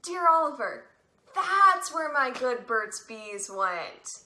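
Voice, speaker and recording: A woman's voice: high voice, very animated, slow, pushed and bright, full character voice. An actress playing a wry, regretful teenage girl in a stage drama, answering letters aloud. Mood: wry, fond. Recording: echoey room with light noise.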